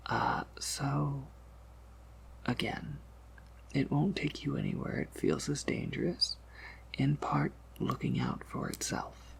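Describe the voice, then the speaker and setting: Male performer as courteous vampire apothecary keeper, ASMR roleplay: unhurried, heavily creaky whisper, gentle, formal, quietly amused. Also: mid-range voice, wildly swooping pitch, a little hiss or hum, heavily acted, poor audio. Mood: gentle, reassuring. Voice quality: breathy whisper with gravelly creak.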